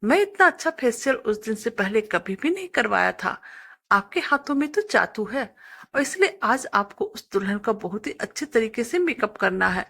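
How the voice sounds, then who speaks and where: A woman's voice: a little high, a bit bright, full character voice. A woman voicing a young married woman customer in a story, polite, friendly and coaxingly insistent. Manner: polite, persuasive.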